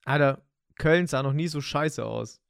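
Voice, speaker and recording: A young man chats slangily on a podcast, his voice a touch higher than most men's. His talk is excitable and he laughs often. The sound is close and dry. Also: unhurried, vocal fry, clean tone, dry recording. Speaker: podcast co-host. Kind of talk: casual chat.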